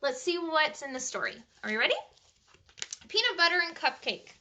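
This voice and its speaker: A woman reading a bedtime story aloud to children in an animated, chatty way, pausing to ask the listeners questions. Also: high-pitched, slight background noise.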